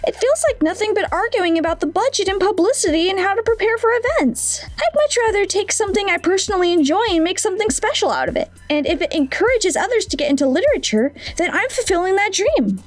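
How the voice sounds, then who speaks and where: A woman voicing a poised, very high schoolgirl president. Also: sing-song, fast, hardly any pauses, no echo. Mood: warm. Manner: confident, reassuring, smooth. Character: poised, friendly teenage club president girl. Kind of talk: fan dub of a visual novel. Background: faint music.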